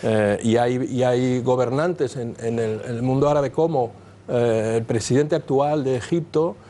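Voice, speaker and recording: Brief: man with a mellow, mid-pitched voice, calm panel guest, faint hiss. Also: measured pace. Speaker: guest spokesman. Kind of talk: studio panel discussion.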